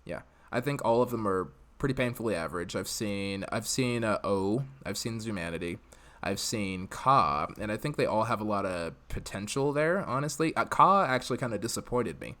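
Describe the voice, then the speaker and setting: A man's voice: on the low side, lively intonation, normal speed, a bit bright. An American man hosting a live podcast, chatting casually and giving his opinions in a conversational way. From United States. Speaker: podcast host.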